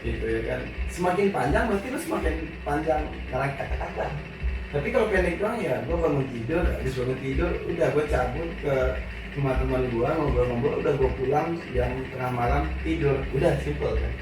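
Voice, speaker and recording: A man from West Sumatra with a Minang accent, a guest chatting casually and telling his career story in lively slang. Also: mid-range voice, brisk, background noise. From West Sumatra, Indonesia (Padang). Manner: animated storytelling in slangy speech. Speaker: guest, actor and radio host. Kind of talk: casual chat. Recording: echoey room, far from the mic, with music.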